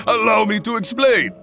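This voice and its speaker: Hearty male guild leader for a game: unhurried, extremely expressive, effusive, clear music underneath. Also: full character voice. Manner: booming, effusive, chuckling. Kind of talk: video game voice acting. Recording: music behind.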